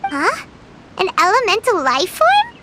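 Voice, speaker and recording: A woman voicing a tiny floating female companion who is excitable, chatty and quick to take offense. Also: background noise, exaggerated voice. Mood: curious. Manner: bouncy, indignant, very high squeaky delivery. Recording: game audio over loud music.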